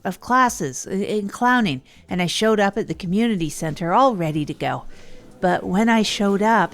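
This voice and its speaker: A woman's voice: medium pitch, close to an everyday voice. A woman playing an upbeat, awkward, down-on-their-luck local radio host in an improvised comedy audio drama, chatting informally and hesitantly. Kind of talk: improvised comic monologue, chatty radio storytelling.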